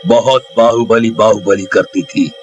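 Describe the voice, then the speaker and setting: A bitter, scheming villain in a story drama, voiced by a man. He speaks slowly and melodramatically, with soft music behind. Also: fairly low pitch, steady tone, highs cut off, natural voice. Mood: gloating. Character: jealous, bitter brother, scheming male villain. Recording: music under the voice.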